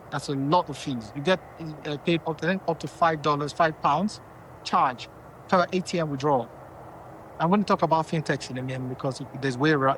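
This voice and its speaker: A man's voice: a little high, fairly bright tone, a little hiss or hum, rough recording. A man from Nigeria, a company founder giving casual money advice and often checking in with his listeners. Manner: conversational, checks in with "okay?" and "right?".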